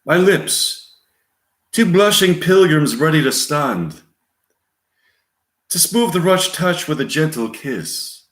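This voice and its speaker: Ardent young English stage lover acted out by a man, raised pitch, florid swoops, echoey room. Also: lively intonation, slow, pauses often, a bit bright. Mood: ardent, theatrical. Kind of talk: theatrical stage tragedy.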